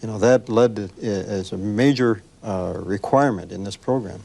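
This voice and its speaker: An American man speaks in a low voice in a panel discussion. His delivery is measured and halting, with formal corporate phrasing. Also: creaky, mellow. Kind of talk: panel discussion about product development.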